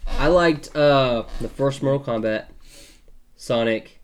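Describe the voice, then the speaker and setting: A man's voice: medium pitch, slow pace, smooth. A man co-hosting a podcast, chatting casually in slangy, profane language and telling personal anecdotes. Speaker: podcast co-host. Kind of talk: casual group chat.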